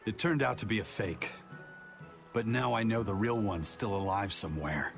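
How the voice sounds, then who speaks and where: An actor playing a steady, frank pirate first mate in a video game, plain-spoken and commanding. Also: male voice, fairly low pitch, normal speed, creaky, soft background music.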